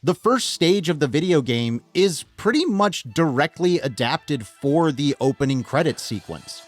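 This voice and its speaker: A man's voice: fairly high pitch, expressive, measured pace, no echo, faint music behind. A man with a North American accent hosting a scripted video essay, enthusiastic and wry, with self-deprecating joking asides. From United States. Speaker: host of a film video essay channel.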